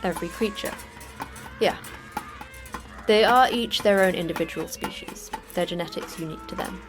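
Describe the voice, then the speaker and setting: An actress playing the terse, dry manager of a secret prison for monsters in a sci-fi horror audio drama, speaking in a clipped, matter-of-fact way. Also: female voice, mid-range voice, normal speed, over music, natural voice. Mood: terse, factual.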